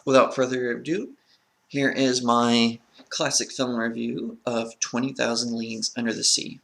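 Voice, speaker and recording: A man's voice: mid-range voice, flat delivery, unhurried, gravelly, a bit bright. A man with a crackly voice from a sore throat, talking as an earnest film review host in a casual vlog style.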